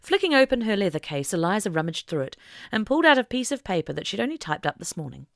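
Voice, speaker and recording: A woman reading a scripted story as an audiobook narrator, in an expressive storytelling style. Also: mid-range voice, fast, vocal fry, no room sound, studio quality.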